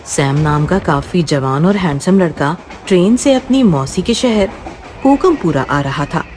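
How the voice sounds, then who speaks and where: Woman, dramatic horror storytelling, scripted, slow delivery, music underneath. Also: lowish voice, darkish tone. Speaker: storyteller, narrator. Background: background music.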